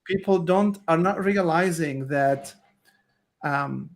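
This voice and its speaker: A male podcast host with a slightly high voice asks his questions earnestly. He often restarts his sentences partway through and then presses on with his point. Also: slow. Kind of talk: interview questions and commentary.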